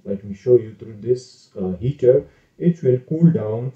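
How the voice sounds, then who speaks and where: A man's voice: medium pitch, measured pace. A man hosting his own channel, giving a casual screen-share tutorial on an engineering calculation, hesitant with many fillers and self-corrections. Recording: roomy, distant, dull lo-fi sound.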